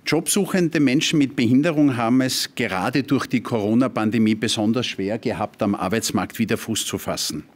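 A man, giving a formal welcome speech as a politician in a conference hall. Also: medium pitch. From Austria. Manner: formal, measured. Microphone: lectern mic.

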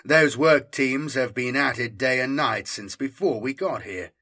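A man's voice: mid-range voice, measured pace, bright, forceful tone. A man voicing a brusque, matter-of-fact male military colonel in an audiobook. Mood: dismissive.

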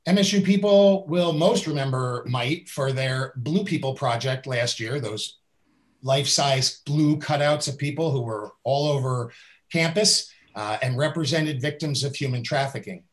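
A man's voice: mid-range voice, unhurried. Middle-aged man hosting a video-call interview. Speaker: interview host. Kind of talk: reading a guest introduction. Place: indoors with a virtual background.

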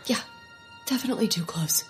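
A woman playing an excitable, panicky sidekick on the run in a comic audio drama. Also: a little high, a little fast, natural voice. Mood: alarmed. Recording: loud music under the dialogue.

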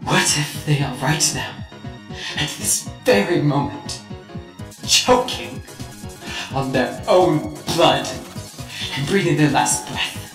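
Very slow, melodramatic robot star. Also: female voice, deep voice. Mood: anguished, dramatic. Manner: grandiose, drawn-out, overdramatic. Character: melodramatic, theatrical glamorous robot showman. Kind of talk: animated comic dub acting. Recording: echoey, distant, loud music behind.

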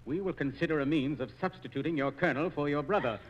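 A man's voice: fairly high pitch, quick pace, lightly acted. An actor playing a reassuring sergeant and father in a 1940s radio operetta. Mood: reassuring, conspiratorial. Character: reassuring father, an old-time English sergeant. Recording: dull, slightly noisy 1940s radio recording.

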